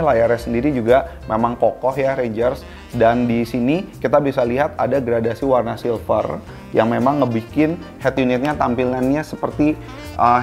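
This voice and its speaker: A man's voice: medium pitch, a little mellow. A man presenting a product review casually and informally, speaking directly to viewers. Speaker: product reviewer, video host.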